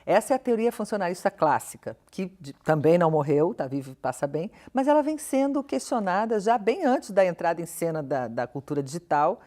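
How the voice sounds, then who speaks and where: A woman's voice: on the low side, sing-song, a little fast, hardly any pauses, warm tone. A Brazilian woman speaking as an academic interview guest, explaining her views in an articulate, questioning way with emphasis on key words. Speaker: interview guest, professor and researcher. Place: television studio interview.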